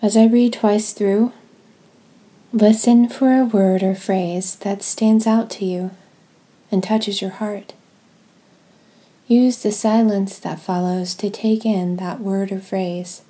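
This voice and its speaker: A woman leading a guided devotional reading in a gentle, deliberate way, pausing between short phrases. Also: medium pitch, steady tone. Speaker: podcast host leading guided prayer and scripture reading.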